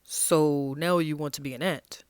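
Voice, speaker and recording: A woman's voice: low-pitched, fairly even intonation, unhurried, clean tone, dry recording, studio quality. A woman voicing patient, easygoing grown-up animals in a picture-book read-aloud, coaxing and matter-of-fact. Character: patient grown-up frog parent and easygoing pig.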